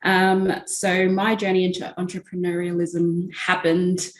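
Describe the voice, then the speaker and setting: Lower-voiced woman in a roomy space. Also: unhurried. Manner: steady, with frequent fillers. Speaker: entrepreneurship facilitator. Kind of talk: guest telling her story in an interview.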